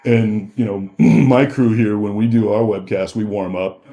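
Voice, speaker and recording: A man's voice: fairly low pitch, fairly even intonation, a little slow, dark tone. A man, telling stories casually as a podcast guest, informal and joking. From Minneapolis, USA. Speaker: podcast guest, DJ and personal trainer. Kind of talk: casual storytelling.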